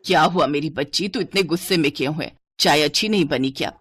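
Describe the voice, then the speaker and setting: A woman's voice: mid-range voice, normal speed, a bit bright, natural voice. A woman voicing a stern, middle-aged mother-in-law who is protective of her children, in a story. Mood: soothing, concerned. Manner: scolding, indignant.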